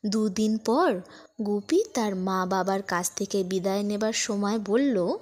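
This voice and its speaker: A woman's voice: mid-range voice, slow pace, darkish tone. A woman narrating a scripted children's folk tale as a storyteller for an audiobook.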